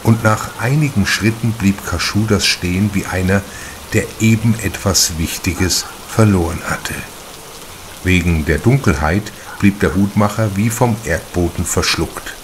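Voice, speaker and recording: A man's voice: deep voice, measured pace, noisy background. A man reading a crime story as a scripted audiobook narration.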